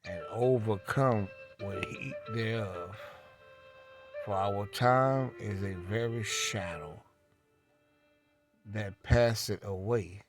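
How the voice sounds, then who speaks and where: Very slow, deliberate man reading scripture. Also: on the low side, music in the background. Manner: deliberate, halting phrasing with long pauses. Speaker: religious reader and commentator. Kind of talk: slow scripture read-along with commentary.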